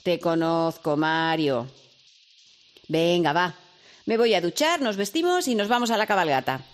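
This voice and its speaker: An actress playing a caring, worried mother who speaks gently and soothingly to her son. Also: fairly low pitch, lively intonation, measured pace. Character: caring worried mother, middle-aged woman. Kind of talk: radio drama acting.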